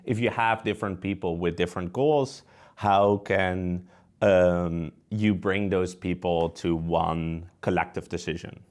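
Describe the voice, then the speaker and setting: A man's voice: sits low, steady tone, a little slow. A man with a Dutch accent, a researcher giving conversational, explanatory answers as an interview guest. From Netherlands.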